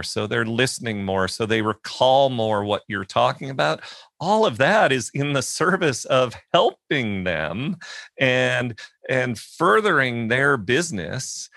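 A man's voice: mid-range voice, creaky, a bit bright, no echo, clean studio sound. A man hosting a podcast, speaking in an earnest, emphatic way. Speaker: podcast host. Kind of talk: casual interview talk.

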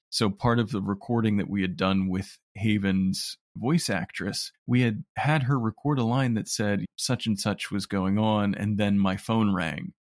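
Low-voiced man, closely recorded. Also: no room sound, clean studio sound. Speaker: audio drama producer. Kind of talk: conversational interview.

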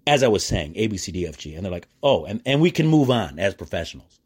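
American man, middle-aged, lowish pitch, casual podcast monologue, animated, jokey, conversational storytelling. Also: fast talker, slightly rough. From United States. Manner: animated, self-describedly chummy and jokey; acts out quoted exchanges. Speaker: podcast host.

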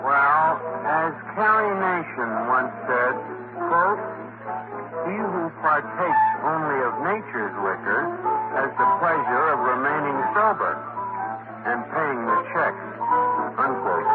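Fussy, high-pitched bartender, old radio. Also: male voice, big pitch swings, takes it slowly, narrow, tinny audio. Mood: mock-solemn. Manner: hesitant, protesting, mock-pompous when quoting. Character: fussy, fretful, drily funny male bartender. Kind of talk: 1940s radio comedy-drama acting. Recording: thin 1940s radio broadcast, loud music behind.

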